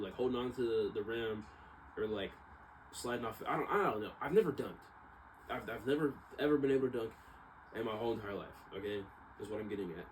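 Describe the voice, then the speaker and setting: A young American man with a fairly low voice talks in a casual, slangy monologue. He rambles and corrects himself as he goes. There is clear background noise with some room ambience. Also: a little mellow, low-quality recording. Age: young adult. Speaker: vlogger, exercise science student.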